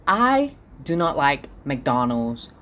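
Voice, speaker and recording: A man's voice: a little high, very animated, slow pace, bright, forceful tone. A young man from Singapore, hosting a casual solo podcast diary at home, ranting and swearing freely. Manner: ranty, swears freely, frustrated outbursts. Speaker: podcast host.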